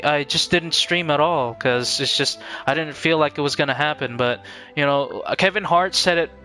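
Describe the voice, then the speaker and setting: A man chatting casually on a game livestream in an earnest, halting, reflective way. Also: mid-range voice, pushed and bright. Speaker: game streamer.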